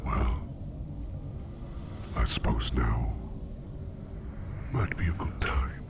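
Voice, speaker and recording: A man voicing a curious, courteous sleep demon in an ASMR roleplay, speaking in a breathy, gravelly whisper with formal, measured, reassuring phrasing. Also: very deep voice, expressive, heavy background noise, heavily acted. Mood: calm, courteous.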